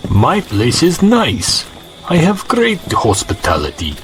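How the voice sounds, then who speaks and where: An actor playing a swaggering, easygoing sidekick in an audio drama, laid-back and boastful. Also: male voice, on the high side, wildly swooping pitch, takes it slowly, heavily acted. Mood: playful. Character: swaggering, easygoing male sidekick, loyal fight-world crony. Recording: music behind. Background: music.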